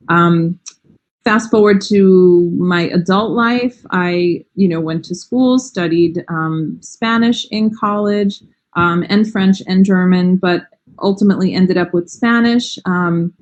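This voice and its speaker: Enthusiastic American woman, lowish voice. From United States. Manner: warm, enthusiastic, frequent "um" and "you know". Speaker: language teacher, interview guest. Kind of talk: casual interview chat.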